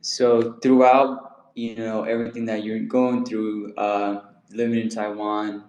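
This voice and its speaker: A man's voice: fairly low pitch, level tone, measured pace, a little mellow, lo-fi sound. A man hosting a casual interview, laid-back and slangy, with plenty of fillers. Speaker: podcast host. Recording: roomy echo.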